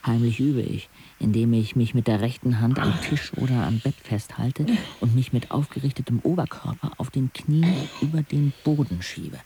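A man's voice: mid-range voice, moderate pace, dark tone, natural voice. Man playing frank, reflective teenage boy narrator, noisy backdrop. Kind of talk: radio drama first-person narration.

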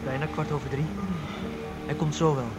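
A man's voice: on the high side, fairly even intonation, moderate pace, over loud music, lightly acted. A man playing a tense, self-assured getaway planner in a naturalistic radio drama, with a clipped, instructing manner. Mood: brisk, practical. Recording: dull, noisy radio drama recording.

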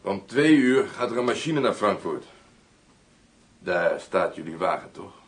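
A man's voice: sits low, very animated, a little slow. An actor playing a businesslike, matter-of-fact henchman in a radio drama. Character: businesslike henchman, a general's right-hand man.

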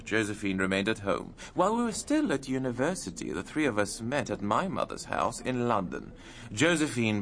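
An actor playing an earnest, wealthy London impresario in a radio drama, speaking in an emphatic, confiding way. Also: male voice, lowish voice, a bit bright, slight background noise. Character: earnest, wealthy young male London impresario, wistful storyteller.

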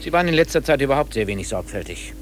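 An actor playing a harried, brusque but helpful chief engineer in a German radio play. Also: male voice, mid-range voice, sing-song, racing, a little hiss or hum, lightly acted.

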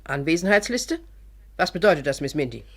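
An actress playing a domineering, sharp-tongued village chairwoman and mother in a 1950s radio play, brusque and scornful. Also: low-pitched, sing-song, brisk, full character voice. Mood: grumbling.

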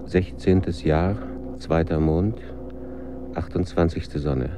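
An actor playing a grave imperial chronicler in a radio drama, solemnly and deliberately reciting official records. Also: male voice, low-pitched, steady tone. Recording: noisy ambience behind.

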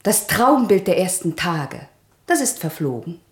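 A young lover in a radio drama, weary and impatient with her partner. Her low voice has a blunt, sardonic bite. It rings in a roomy, echoing space. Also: sing-song, a little slow. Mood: scornful, reproachful. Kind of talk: radio play acting.

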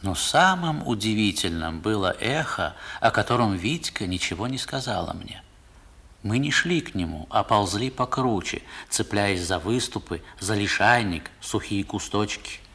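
Wistful literary narrator, lowish husky voice, expressive measured storytelling, vintage radio recording. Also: male voice, heavily acted. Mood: wonder. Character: wistful male narrator recalling boyhood. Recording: old 1960s radio recording.

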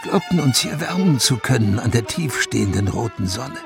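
Gout-plagued lamenter for poetic radio drama; gravelly, solemn declamation, strings playing prominently behind. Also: male voice, medium pitch, big pitch swings, moderate pace. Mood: anguished, lofty. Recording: studio radio drama with music. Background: prominent music with strings.

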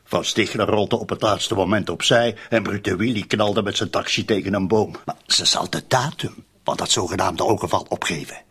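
A husky, scheming Flemish accomplice. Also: male voice, lowish voice, moderate pace, hardly any pauses, fairly bright tone. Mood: grim, sardonic. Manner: insistent, colloquial, spelling things out. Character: scheming, streetwise male accomplice to murder, cynical and insistent. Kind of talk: radio drama dialogue.

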